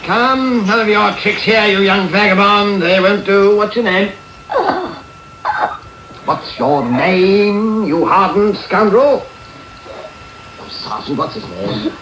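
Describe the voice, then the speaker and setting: An actor playing a bad-tempered, haughty English magistrate in a period radio play, pompous, curt and scornful. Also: male voice, sits high, lively intonation, takes it slowly, long pauses, fairly bright tone, full character voice. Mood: impatient. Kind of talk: period radio drama acting. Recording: noisy echoey medium-wave radio taping.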